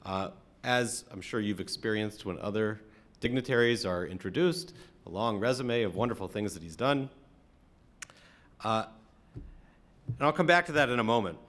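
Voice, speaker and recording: An American man from Atlanta speaking as a rabbi, giving a conversational, reflective sermon with frequent halting pauses. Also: lowish voice, lively intonation, a bit bright. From Atlanta, American South. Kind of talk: sermon, conversational talk to congregation.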